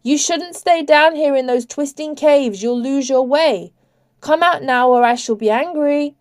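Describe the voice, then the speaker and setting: A woman voicing a male vampire, a dark angel and cruel master given to coaxing and commanding in a storybook. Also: sits high, unhurried, voice pushed up. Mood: coaxing, sweet. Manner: sweetly coaxing turning to commanding shouts.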